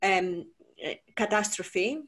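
A woman whose first language is Greek, moderating an online panel in a reflective, halting way over a video call. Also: mid-range voice, lively intonation, a bit bright. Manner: reflective, halting with many fillers.

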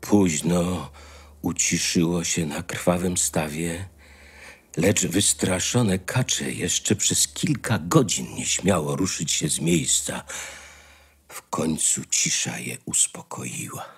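A man's voice: sits low, animated, slow pace, breathy edge. A man narrating a scripted fairy tale as a dramatic storyteller. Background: faint music.

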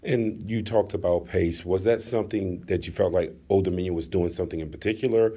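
Reporter, man, low dark husky voice, conversational questions. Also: brisk. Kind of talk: asking press conference questions.

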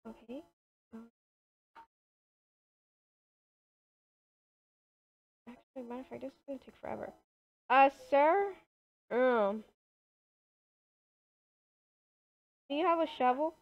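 A young woman playing a shy, hesitant new worker in an improvised video game roleplay. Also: high voice. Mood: shy, polite. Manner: hesitant, unhurried. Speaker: roleplay gamer. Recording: dull lo-fi home mic.

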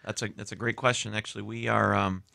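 Male studio guest in his thirties, matter-of-fact explainer; fast, gravelly, dry close mic. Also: lowish voice, level tone, bright, forceful tone, no echo. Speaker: guest heating and cooling contractor. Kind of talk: radio interview answers. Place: small radio studio. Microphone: studio mic with headphones.

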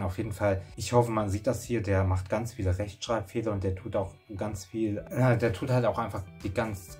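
A man's voice: low-pitched, darkish tone. Young man rambling chattily to camera. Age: young adult. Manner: chatty, rambling, with many restarts. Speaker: vlogger. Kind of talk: casual storytelling to camera. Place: small bedroom indoors.

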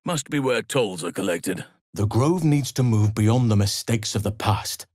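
An actor playing a calm, earnest and wise male druid healer, protective in temper, for a video game. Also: lowish voice, a little slow, dry recording, clean studio sound. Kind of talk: video game character voice lines.

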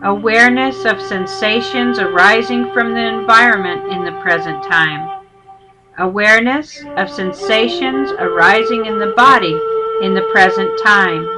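A woman teacher narrating a guided meditation with measured, instructional delivery. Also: mid-range voice, poor audio. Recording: loud music under the voice. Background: loud instrumental music.

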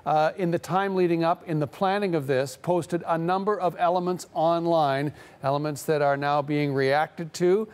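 A middle-aged man explaining news live in a studio, talking in halting phrases full of "uh" pauses. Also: fairly high pitch, steady tone, darkish tone, clean tone. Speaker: news correspondent.

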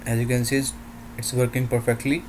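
A man narrating a software demo in halting, non-native English phrasing. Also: mid-range voice, flat delivery, background noise.

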